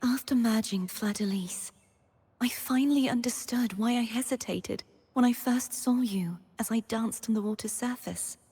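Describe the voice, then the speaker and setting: A young woman playing a gentle, earnest, wistful maiden heroine in scripted video game lines, soft-spoken and breathy. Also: a little high, moderate pace. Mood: solemn, devoted. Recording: long reverb on the voice.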